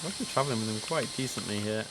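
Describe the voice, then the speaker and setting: Low-voiced man streaming with noisy background. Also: rapid-fire. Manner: chatty, musing aloud. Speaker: live streamer, host. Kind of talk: casual live gameplay commentary on an air traffic control simulator.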